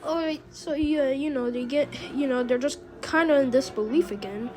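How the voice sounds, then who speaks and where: A woman's voice: high-pitched, moderate pace, darkish tone. A woman giving a casual, rambling recap as host of a reaction video, in informal, slangy language.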